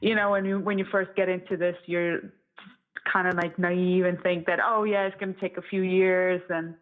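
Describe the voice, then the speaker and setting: A woman's voice: fairly low pitch, little pitch movement, moderate pace. A woman in her thirties from Estonia, talking casually and conversationally as an interview guest who produces music. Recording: thin, phone-like band-limited audio.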